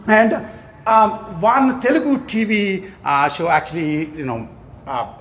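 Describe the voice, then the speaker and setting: A man's voice: sits high, a little slow, fairly bright tone. A man from India, a professor giving a conference award lecture with joking asides. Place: conference hall before an audience.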